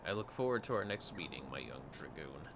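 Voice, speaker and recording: Man acting a gravelly, solemn veteran dragoon. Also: a bass voice, very animated, fairly quick, background noise, voice pushed down, exaggerated voice. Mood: solemn, stern. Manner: grave, formal, archaic phrasing. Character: stern, solemn veteran dragoon mentor, male.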